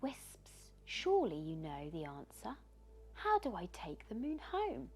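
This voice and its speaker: A woman's voice: medium pitch, huge pitch swings, measured pace, a little mellow, soft background music. A woman voicing a determined young girl in a children's storybook, earnest and pleading.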